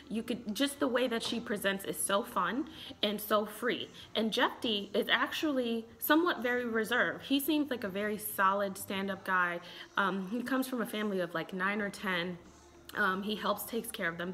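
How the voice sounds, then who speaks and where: American lady in her 30s chatting to camera at home, relationship expert vibe, expressive, medium pitch. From Chicago, United States. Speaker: therapist and relationship expert reviewing a TV show. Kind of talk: casual vlog commentary. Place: small room indoors at home.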